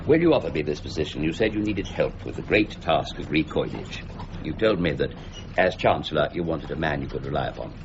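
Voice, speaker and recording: A man's voice: a bass voice, lively intonation, fairly quick, background noise. A man acting in a radio drama as a proud, exacting and irritable Master of the Mint, speaking precisely and imperiously. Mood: indignant, demanding. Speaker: actor in lead role. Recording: dull lo-fi radio recording, music behind.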